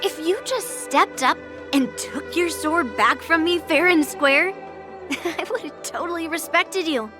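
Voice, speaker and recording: A cocky young girl warrior from a video game. Her voice sits high, and she teases and taunts with a hot temper. Music plays clearly underneath. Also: a little slow. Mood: taunting. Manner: brash, teasing, taunting.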